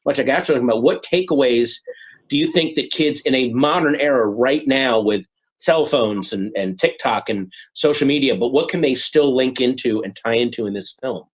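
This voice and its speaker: A man's voice: medium pitch, normal speed, slightly rough, poor audio. A man hosting a panel, reading out questions and passing the floor along. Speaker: host and moderator. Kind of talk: reading audience questions, moderating.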